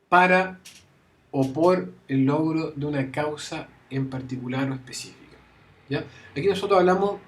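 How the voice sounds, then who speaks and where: A man giving a university lecture, often restarting phrases and checking in with his students. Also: on the high side. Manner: frequent fillers and restarts, checks understanding with "¿ya?". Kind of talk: classroom lecture. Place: classroom.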